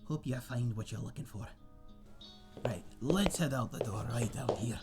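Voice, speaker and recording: A man playing a friendly tavern owner and former sailor, husky and chatty, telling tales in a folksy seafaring dialect in a fantasy audio roleplay. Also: mid-range voice, normal speed, over loud music, natural voice. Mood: caring, playful. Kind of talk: ASMR roleplay monologue in a fantasy setting.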